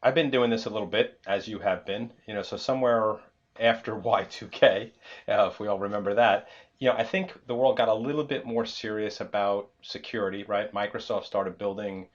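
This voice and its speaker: A man with a low voice hosts a podcast conversation, relaxed and chatty, often doubling the first words of a phrase. The sound is dull and a bit muffled. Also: a little fast. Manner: easygoing, chuckles and stutters on starts. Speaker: podcast host. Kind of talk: casual interview hosting.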